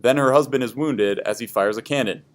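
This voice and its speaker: A man's voice: medium pitch, fairly quick. A man reading scripted educational history narration aloud for a class.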